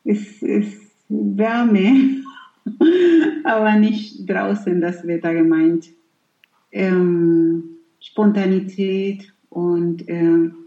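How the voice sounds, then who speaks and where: A woman's voice: on the low side, very slow, pauses often, mellow, echoey room, muffled recording. A middle-aged woman whose first language is Spanish, speaking German in a thoughtful, hesitant interview with many fillers. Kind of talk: reflective personal interview.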